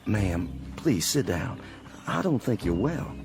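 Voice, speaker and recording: Radio drama, tired Confederate sergeant: low-pitched, courteous, subdued drawl, music prominent underneath. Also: male voice, big pitch swings, slow, heavily acted.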